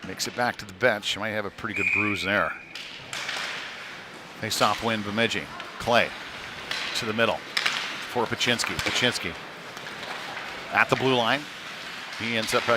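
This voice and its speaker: A man giving live play-by-play of a youth ice hockey game in short, clipped phrases that trail into asides. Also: medium pitch. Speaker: play-by-play commentator. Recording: noisy rink with ambient clatter behind. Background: rink game noise.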